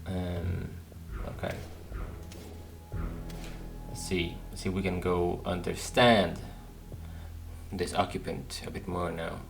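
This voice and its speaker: Casual male gamer, very low pitch, thinking out loud, game music behind him. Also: little pitch movement, slow pace, creaky, pauses often. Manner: musing aloud, repeating words. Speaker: gaming video host. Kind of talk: casual playthrough commentary.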